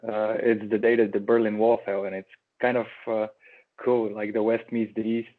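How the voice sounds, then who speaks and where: A man from Bulgaria, a guest on a podcast, talking in a relaxed way over a video call, with a few stumbles and restarts. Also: on the low side, normal speed. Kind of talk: casual remote interview chat. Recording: tinny phone-like call audio.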